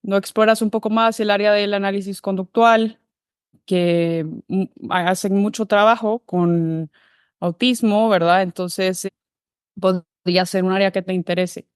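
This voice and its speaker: A woman's voice: medium pitch, steady tone, measured pace, smooth, no room sound, clean studio sound. A woman from Guatemala, a behavior analyst, telling her career path in an interview, thoughtful and full of restarts. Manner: thoughtful, many fillers and restarts. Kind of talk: relaxed interview conversation.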